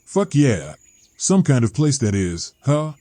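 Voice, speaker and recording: A man's voice: medium pitch, very animated, takes it slowly, dark tone, no echo. A man playing an agitated, embittered homeless middle-aged ex-teacher, blunt, folksy and profane, in a dramatized comic.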